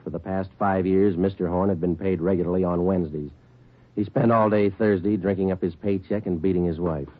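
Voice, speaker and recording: A man's voice: low-pitched, fairly even intonation, fairly quick. An actor playing a terse male police sergeant narrating a case in a clipped, matter-of-fact way, in a 1940s radio drama. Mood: flat, businesslike. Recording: tinny 1949 radio broadcast with music.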